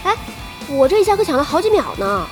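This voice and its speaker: A woman's voice: high-pitched, big pitch swings, fast. A woman playing a playful, cheeky young gunner in a Chinese audio drama about online gaming. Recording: loud music bed under the voice.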